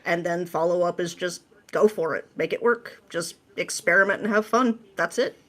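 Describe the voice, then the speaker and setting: Slightly low-voiced woman, casual and playful. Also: moderate pace. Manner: informal, playful, slangy explanations. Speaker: podcast guest, script writer. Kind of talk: casual interview chat.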